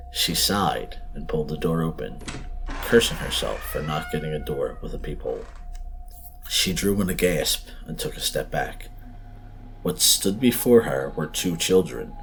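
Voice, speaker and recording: Very deep-voiced man narrating horror. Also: husky. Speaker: podcast host and story narrator. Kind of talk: dramatic scripted horror story narration.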